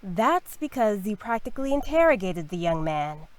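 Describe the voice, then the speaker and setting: A woman playing a caring, gently scolding mother in a visual novel. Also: mid-range voice, sing-song, moderate pace, lightly acted. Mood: chiding. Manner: motherly, chiding.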